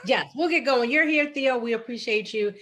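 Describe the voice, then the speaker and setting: A woman's voice: fairly high pitch, normal speed, fairly bright tone. Female moderator, chatty and friendly, frequent ums and restarts, on a video call. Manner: friendly, filler-heavy, repeats herself. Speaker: forum moderator. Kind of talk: moderating a candidate forum.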